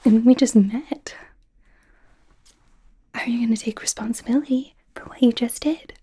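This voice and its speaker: A young woman voicing an awkward, flustered female werewolf student in a soft, whispery ASMR roleplay, stammering and rambling nervously. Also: on the high side, normal speed. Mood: indignant. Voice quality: soft, breathy, often whispery. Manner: nervous, stammering, rambling, indignant when embarrassed.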